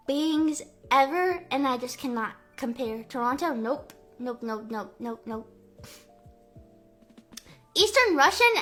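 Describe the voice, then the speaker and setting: A young woman from the Midwest chatting playfully on a live stream, answering chat guesses, full of "like" fillers and drawn-out vowels. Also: high-pitched, sharp tone. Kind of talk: casual live-stream chat reading comments.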